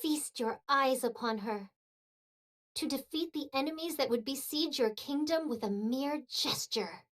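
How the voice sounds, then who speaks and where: A woman playing a loyal, formal and dryly vengeful royal retainer in a video game, with measured, courtly diction. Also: high voice, fairly bright tone. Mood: haughty, assured.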